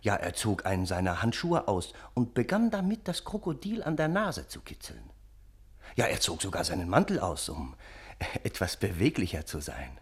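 An actor playing a wry family friend who narrates the story in a radio play. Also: male voice, on the low side, huge pitch swings, moderate pace, breathy edge, exaggerated voice. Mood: amused, reminiscing.

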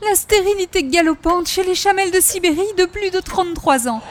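A woman's voice: very high voice, brisk. A woman playing an effusive, romantic middle-aged busybody in an old-time radio comedy, prim, dramatic and flowery. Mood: earnest, lamenting.

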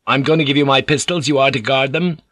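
A man's voice: medium pitch, fast talker, a bit bright, dry recording, pitched above the performer's own voice, natural voice. Man acting a firm, commanding foreign adventurer. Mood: firm, urgent. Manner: firm, imperious, clipped. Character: commanding foreign man, firm, curt, newcomer among samurai.

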